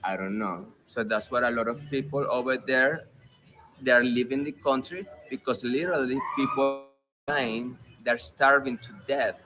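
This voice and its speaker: A man from Venezuela with a Venezuelan Spanish accent explains things in halting, casual English as an interview guest, repeating words for emphasis. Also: medium pitch. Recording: clear background noise.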